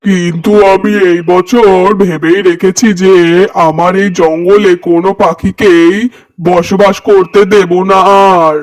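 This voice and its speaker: A man's voice: sits high, steady tone, unhurried. A territorial bird-of-prey king from a children's cartoon , voiced by a man. He is haughty and unyielding, with a raised male voice. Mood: stern, unyielding.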